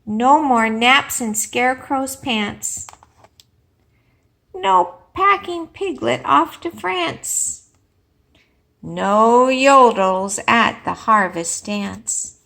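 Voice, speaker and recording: A woman voicing fed-up barnyard animals in a storybook, scolding gruffly and emphatically. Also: fairly high pitch, lively intonation, drawn-out words, sharp tone, full character voice. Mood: stern scolding.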